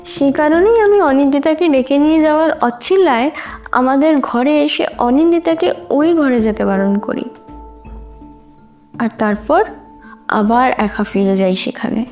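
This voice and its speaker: A young female student gives testimony in a courtroom audio drama. Her voice is high and earnest, deliberate and heavy with contained distress, with music underneath. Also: darkish tone. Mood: troubled, uneasy. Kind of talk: Bengali audio drama acting.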